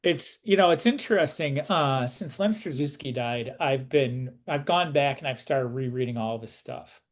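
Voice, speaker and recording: A man's voice: mid-range voice, moderate pace. A man conducting a casual interview, rambling thoughtfully on the way to his questions.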